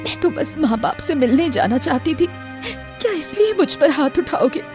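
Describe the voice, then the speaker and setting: A woman's voice: on the high side, expressive, moderate pace, faint music behind, close to an everyday voice. A woman playing a meek, pleading, mistreated village wife in a cartoon story. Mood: hurt. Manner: soft-worded, pleading.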